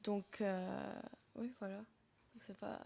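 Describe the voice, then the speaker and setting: A young woman from Lebanon, a singer-songwriter, answering interview questions softly and searching for her words. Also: medium pitch, flat delivery, slow pace, creaky, a little hiss or hum. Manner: soft-spoken, searching for words, trailing off.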